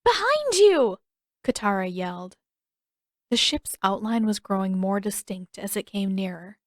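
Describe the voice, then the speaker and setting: A woman's voice: medium pitch, fairly even intonation, moderate pace, no echo. Woman narrating a story, very dry sound. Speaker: audiobook narrator.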